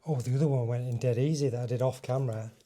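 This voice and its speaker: A man with a dark, relaxed voice at a middling pitch talks through a hands-on job step by step. He is informal and seems to think aloud as he works, like a vlogger showing viewers a fitting. There is faint noise behind his voice. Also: very fast. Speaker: motovlogger presenting a fitting tutorial. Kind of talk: casual hands-on demonstration, talking through each step.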